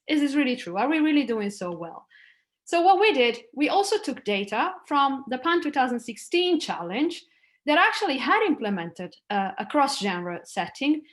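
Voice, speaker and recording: Middle-aged Italian-born woman, slightly raised pitch, chatty lecturer on a video call. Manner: conversational, with frequent restarts and "like" fillers. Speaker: academic speaker. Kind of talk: conference talk over slides.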